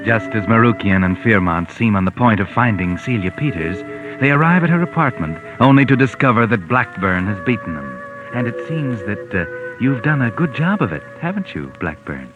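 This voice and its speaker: An American actor playing a sly, knowing narrator who taunts the characters in a 1940s radio mystery. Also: male voice, on the low side, very animated, normal speed, phone-quality sound. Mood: sly, taunting. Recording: vintage 1940s radio recording, music behind.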